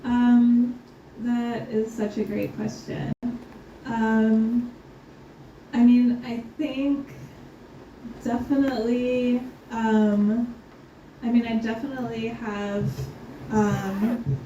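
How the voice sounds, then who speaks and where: A woman speaking thoughtfully on a panel, pausing often with ums and light laughs. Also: a little high, flat delivery, mellow, slight background noise. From United States. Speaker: scholar guest. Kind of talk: panel conversation. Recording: roomy, distant, slightly dull.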